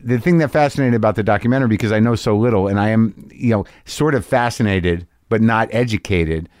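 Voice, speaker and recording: Low-voiced man interviewing in a dry space. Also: fairly quick, a little mellow, dry recording. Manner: inquisitive, blunt, informal. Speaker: interview host. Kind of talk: casual conversational interview.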